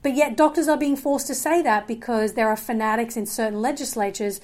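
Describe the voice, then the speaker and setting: A woman's voice: a little high, a little fast, darkish tone. A woman, talking earnestly as a guest in a podcast interview, with many fillers and restarts. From Australia. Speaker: guest filmmaker.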